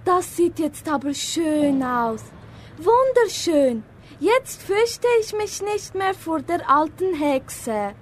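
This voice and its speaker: A teenage girl playing a wide-eyed neighbour child in a school radio play, reading simply and deliberately. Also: very high voice, sing-song, slight background noise, heavily acted. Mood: delighted.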